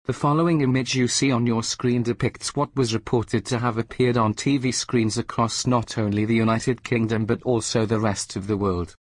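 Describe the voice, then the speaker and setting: A man reading a formal emergency broadcast announcement in a measured, solemn manner. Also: mid-range voice, fairly even intonation, no room sound.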